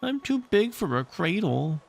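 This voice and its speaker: A man's voice: high voice, big pitch swings, measured pace, creaky, voice pushed up, heavily acted. A man voicing a squabbling, stubborn little storybook boy who speaks in a petulant, insistent way.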